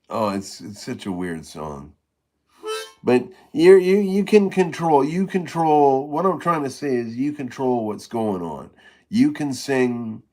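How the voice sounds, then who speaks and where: A middle-aged man with a very deep, low voice talks slowly and loosely in a casual stream chat. He rambles, stalls on fillers and restarts his sentences as he goes. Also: darkish tone. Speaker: harmonica player giving tips.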